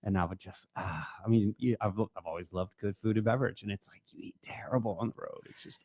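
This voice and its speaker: A man's voice: on the low side, fast talker, darkish tone, breathy edge, no room sound. A man hosting a radio interview, chatting casually and responding to his guest in a conversational way. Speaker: radio show host. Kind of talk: casual chat.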